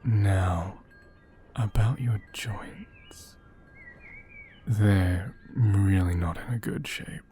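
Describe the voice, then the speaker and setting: A man playing a gentle, attentive doll repairer in an ASMR roleplay, speaking softly and often in a half-whisper, unhurried and soothing. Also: fairly low pitch, slight background noise. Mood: tender, soothing. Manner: unhurried, hushed, murmuring with thoughtful hums.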